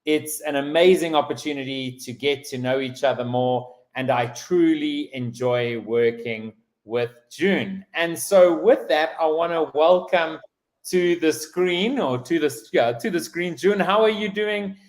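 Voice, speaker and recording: A man hosting a live stream interview over a video call, talking haltingly with many restarts and fillers. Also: a little high. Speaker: host.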